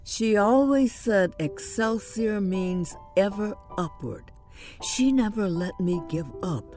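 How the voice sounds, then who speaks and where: A woman playing a weary, resolute veteran starship captain in an audio drama, measured, dry and commanding. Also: medium pitch, expressive, over music. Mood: weary, reflective. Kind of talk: science fiction audio drama acting.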